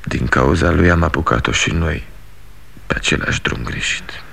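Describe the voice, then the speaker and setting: An actor playing a disillusioned grown son in a 1960s radio drama, speaking with blunt resolve. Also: male voice, extremely low pitch, vocal fry, pushed and bright, a little hiss or hum, heavily acted. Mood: bitter.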